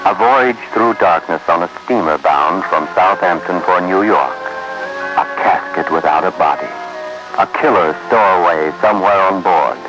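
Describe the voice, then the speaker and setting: Low-voiced male radio suspense narrator. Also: muffled recording. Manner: solemn, dramatic, deliberate. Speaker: host and narrator. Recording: vintage 1950s radio recording.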